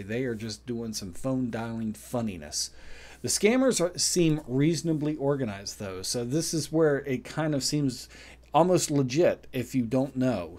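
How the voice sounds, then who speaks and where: A man with a mid-pitched voice hosts a casual advisory vlog. He talks conversationally and directly to the viewer, leaning on key points and repeating them so they stick. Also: a little mellow. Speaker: video host.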